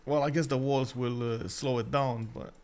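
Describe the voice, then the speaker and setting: A man from Romania with a Romanian accent, hosting a stream and commentating a strategy game in chatty, halting talk that breaks into frequent laughs. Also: medium pitch, steady tone, darkish tone. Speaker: esports caster and stream host.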